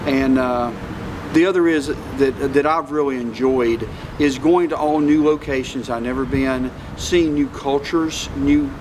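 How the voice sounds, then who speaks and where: A man's voice: mid-range voice, unhurried, hardly any pauses. A man speaking as an army reserve commander, giving a reflective interview answer. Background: steady ambient noise.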